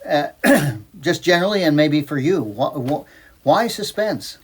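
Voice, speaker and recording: A man's voice: on the high side, expressive, measured pace, breathy edge. A man speaking as a host, introducing a program and interviewing a guest. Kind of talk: podcast-style introduction and interview.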